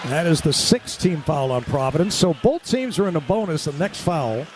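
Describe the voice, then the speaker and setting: Male play-by-play caller, very lively range, excitable and punchy, noisy gym behind him. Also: fairly high pitch, normal speed. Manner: excitable, punchy delivery that rises with the action. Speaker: radio play-by-play announcer. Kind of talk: live basketball commentary. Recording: loud arena noise behind the voice. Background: basketball game ambience.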